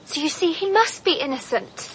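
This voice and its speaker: Brief: a fiery young wife, high animated voice, ambience behind. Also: female voice, moderate pace, heavy background noise. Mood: defiant. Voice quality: insistent and defiant. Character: headstrong, excitable young wife. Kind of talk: radio drama acting.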